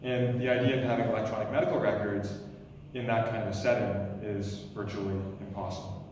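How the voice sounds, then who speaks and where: A man in his thirties delivers a loud, energetic startup pitch, often restarting his phrases. His voice sits a little low. He is heard from far off in a big echoey lecture hall, with a steady hiss of background noise. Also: fairly quick, highs cut off. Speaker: emergency doctor pitching a startup. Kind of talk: pitch presentation. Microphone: stand mic, recorded from far camera.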